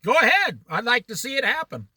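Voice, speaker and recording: A man teaching a casual Bible study in a very bright, high voice that swings widely in pitch. He talks slowly and challenges his listeners with pointed questions, with a dry close sound. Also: husky, no echo. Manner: animated, challenging rhetorical questions; jokey asides. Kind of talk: informal Bible study talk.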